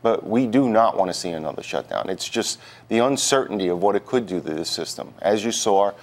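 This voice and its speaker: A man with a low voice speaks as an interview guest on a news broadcast. He talks in a halting way, often repeating a word before moving on. He sounds like an organization's representative giving answers. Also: expressive. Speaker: union president, interview guest.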